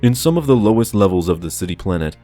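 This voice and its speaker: A man reads a scripted explainer as narrator, in a measured, expository way. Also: fairly low pitch, lively intonation, dark tone. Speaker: narrator of a lore explainer channel. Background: background music.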